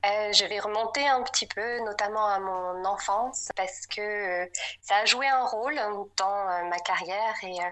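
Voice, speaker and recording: A woman's voice: medium pitch, a little slow, a bit bright. A French woman in her thirties, a podcast guest telling her story with lots of hesitant fillers. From France. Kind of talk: casual interview chat.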